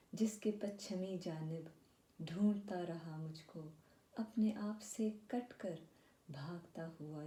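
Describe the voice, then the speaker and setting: A woman recites poetry dramatically in a very dark, mellow voice. Her delivery is slow and deliberate, with pauses between short phrases. The recording has a roomy echo. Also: on the low side. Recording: roomy echo, faint background noise.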